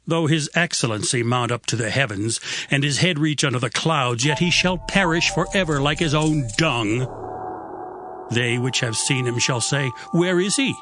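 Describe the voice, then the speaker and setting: A man's voice: mid-range voice, normal speed, over music, lightly acted. An actor playing a stern, indignant man who condemns the wicked in a declamatory, admonishing scripture drama. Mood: indignant warning.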